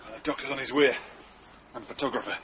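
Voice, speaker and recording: A man's voice: mid-range voice, steady tone, fast talker, noisy background, lightly acted. An actor playing a policeman who passes on messages in a radio drama. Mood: businesslike.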